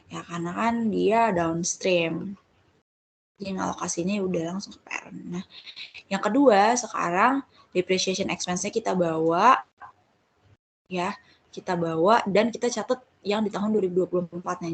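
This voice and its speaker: A woman's voice: fairly low pitch, vocal fry, slight background noise. A woman giving an informal step-by-step tutorial as a tutor, conversational and often correcting herself. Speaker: accounting tutor.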